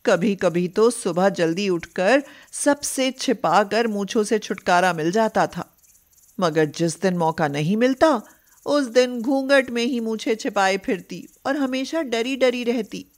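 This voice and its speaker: Unhurried woman narrating a story. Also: medium pitch.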